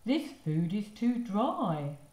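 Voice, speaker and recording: A woman voicing a fussy, curious male creature in a children's storybook, with deliberate pronouncements. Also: mid-range voice, very animated, a little mellow, pitched above the performer's own voice. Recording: roomy echo.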